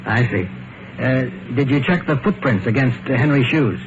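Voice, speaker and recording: A muffled vintage radio recording with clear noise carries an actor as a police investigator. He is a man of medium pitch, methodical and skeptical, probing in a halting, businesslike way. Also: lightly acted. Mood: intent. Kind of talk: old-time radio mystery acting.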